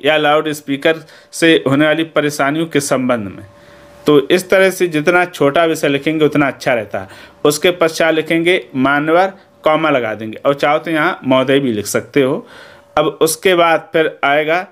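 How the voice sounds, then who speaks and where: Man, Indian accent, fairly high pitch, teacher, instructional delivery, methodical, step-by-step explanations. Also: moderate pace, fairly bright tone. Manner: methodical, checks in with "okay" after points. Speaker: Hindi teacher.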